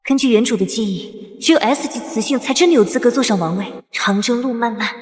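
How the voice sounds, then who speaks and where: A woman voicing a flippant, scheming young princess in an animated drama, with a cheeky, wisecracking manner. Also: a little high, brisk, breathy edge, in a large hall. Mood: determined. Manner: cheeky, self-amused, wisecracking. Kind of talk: animated short-drama dubbing with comic inner monologue.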